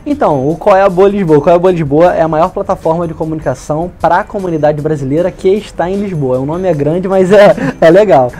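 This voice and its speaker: A man in his twenties with a softened Rio de Janeiro accent and hushing s sounds, explaining things in a casual interview. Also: fairly high pitch, a little fast, few pauses, soft background music. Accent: softened Rio de Janeiro Brazilian. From Brazil, Rio de Janeiro. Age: 20s. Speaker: interview guest, leader of a community media project.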